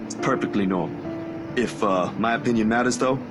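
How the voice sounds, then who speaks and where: A man's voice: on the low side, fairly quick, close to an everyday voice. A man voicing an easygoing photographer friend in a visual novel, casual, reassuring and slightly hesitant. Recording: music behind the voice.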